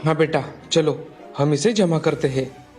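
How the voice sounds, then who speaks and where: A man playing a trusting, good-natured farmer father in an expressive, earnest storybook style. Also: fairly high pitch, a little slow, soft background music, natural voice. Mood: earnest, gentle. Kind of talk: dramatized Hindi folk tale dialogue.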